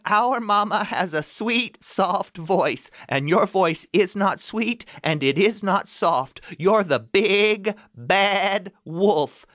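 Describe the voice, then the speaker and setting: A man voicing small defiant goat kids in a storybook with a high, shrill voice. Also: lively intonation, takes it slowly, hardly any pauses, fairly bright tone, dry recording, clean studio sound, full character voice.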